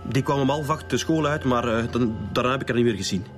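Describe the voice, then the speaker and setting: A Flemish actor playing a defiant, foul-mouthed schoolboy in a radio crime drama. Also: male voice, mid-range voice, fast, soft background music, close to an everyday voice. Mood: defensive.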